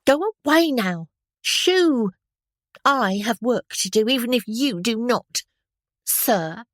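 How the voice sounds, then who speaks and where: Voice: mid-range voice, expressive, takes it slowly, no room sound, clean studio sound, pitched above the performer's own voice. A narrator putting on a fierce scolding washerwoman. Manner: bossy, scolding.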